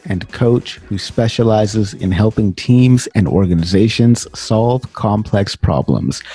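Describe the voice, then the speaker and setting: Man, podcast host, husky, mellow, dry close sound, friendly interviewer. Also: fairly low pitch, dry recording. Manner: friendly, reads a formal guest introduction.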